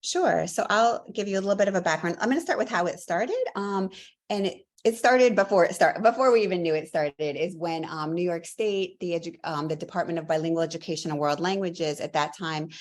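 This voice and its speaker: Low-voiced woman professor telling her story. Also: brisk. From Latvia. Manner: earnest, fluent storytelling with fillers. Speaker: guest, professor. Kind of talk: podcast interview answers.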